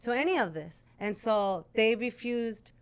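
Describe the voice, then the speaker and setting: A woman's voice: on the high side, expressive, a little slow. A woman speaking as a podcast guest and former radio host, telling things candidly in a matter-of-fact, conversational way.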